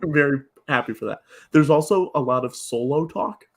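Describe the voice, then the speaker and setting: A man's voice: a little high, expressive, fairly quick. A man chatting casually as a podcast guest, with a wry, joking way of talking.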